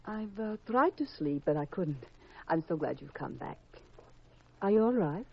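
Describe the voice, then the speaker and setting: An actress playing a flirtatious, worldly and evasive femme fatale in a 1950s radio crime drama, coy and teasing, with deliberate hesitations. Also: female voice, fairly low pitch, big pitch swings, gravelly, slightly rough, full character voice. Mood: anxious, welcoming. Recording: dull vintage radio recording.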